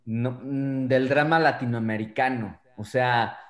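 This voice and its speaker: Echoey, deliberate man. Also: mid-range voice, steady tone. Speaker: panelist in a film discussion. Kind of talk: casual analytical discussion. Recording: roomy, echoey sound.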